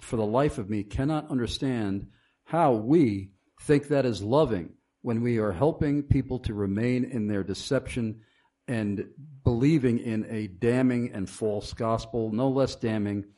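A man's voice: fairly low pitch, dark tone. A man hosting a radio talk show, reading listener questions and speaking emphatically.

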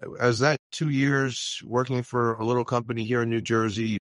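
Earnest older pastor, halting speech. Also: male voice, mid-range voice, little pitch movement, no room sound. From Louisiana, US. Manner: earnest, halting, many fillers and restarts. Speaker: pastor, guest. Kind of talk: personal testimony in a radio interview.

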